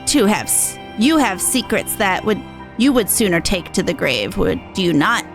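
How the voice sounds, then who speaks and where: A woman's voice: mid-range voice, sing-song, moderate pace. A woman voicing a sly, secretive female dealer from a fantasy game, arch and knowing in formal phrasing. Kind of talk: reading fantasy game dialogue aloud. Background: game music.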